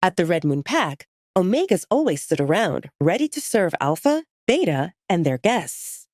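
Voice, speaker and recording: A woman narrates a scripted audio drama in a slightly low voice. Her reading is animated and expressive, captured close and very dry on a studio microphone. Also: no room sound.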